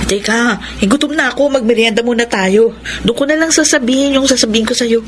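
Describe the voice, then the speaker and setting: An actress playing an evasive, flippant wife who gambles and talks back in a radio drama. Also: female voice, on the high side, background noise, close to an everyday voice. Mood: casual, hungry. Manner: flippant, argumentative, quick to talk back. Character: evasive, defiant gambling wife and borrowing elder sister.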